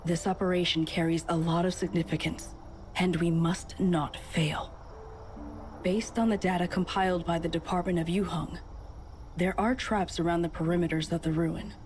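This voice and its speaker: A woman's voice: on the low side, steady tone, moderate pace, a little mellow, slight background noise, close to an everyday voice. A woman playing a composed, stern female commander in a video game, giving formal, decisive briefings. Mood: commanding. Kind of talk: scripted video game dialogue. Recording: music behind the voice.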